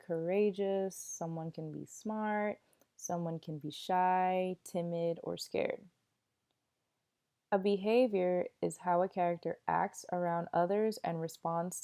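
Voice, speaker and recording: A woman's voice: fairly low pitch, vocal fry, warm tone. A woman speaking as a teacher to children, introducing a reading lesson and explaining things simply with examples.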